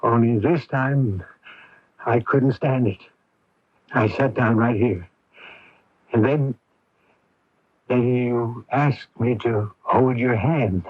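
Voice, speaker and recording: An actor playing a gentle, hesitant, devoted middle-aged father in a 1980s radio drama, with a husky voice. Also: medium pitch, slow pace, long pauses, dry recording. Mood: tender, hesitant. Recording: dull, dry AM radio recording.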